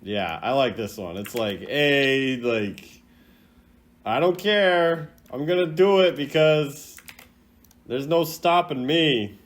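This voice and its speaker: A man's voice: mid-range voice, expressive, slow pace. A man doing casual reaction commentary as a gaming creator, thinking aloud in an informal, rambling way. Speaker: video game content creator ranking voice lines.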